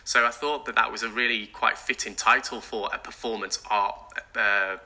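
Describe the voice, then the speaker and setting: A man with a very bright, forward tone and a fairly low voice speaks as a studio guest. He explains things casually and rambles, with plenty of ums and restarts. His first language was British Sign Language. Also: steady tone, moderate pace. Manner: hesitant, many ums and restarts. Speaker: event organizer, guest. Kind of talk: casual interview chat. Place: radio studio.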